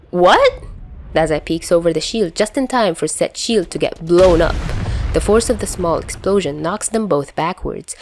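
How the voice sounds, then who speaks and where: A woman with a low voice narrates fiction expressively. She reads from a script like an amateur audiobook reader. The recording carries a faint noise. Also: darkish tone.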